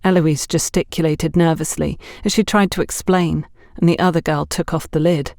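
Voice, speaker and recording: A woman's voice: low voice, steady tone, normal speed, vocal fry, darkish tone, dry recording, studio quality. A woman reading scripted mystery fiction as an audiobook narrator.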